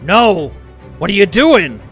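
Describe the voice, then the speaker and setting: A man acting a panicked, outraged supervillain scientist, shouting frantically in a comic book dub. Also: sits high, very animated, slow, exaggerated voice. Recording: loud music over the voice.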